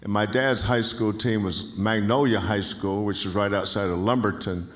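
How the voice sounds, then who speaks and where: A man's voice: on the low side, fairly even intonation, moderate pace, fairly bright tone. A man in his sixties from North Carolina, a basketball coach, telling folksy stories in an onstage interview and often restarting phrases. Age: 60s. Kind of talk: onstage interview at an awards banquet.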